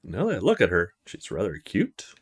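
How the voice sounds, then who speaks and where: A man's voice: deep voice, huge pitch swings, moderate pace, slightly rough, voice pushed down, heavily acted. A man voicing a polite young male student in a visual novel, thinking to himself in casual short fragments. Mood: musing. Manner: casual inner monologue with short musing fragments.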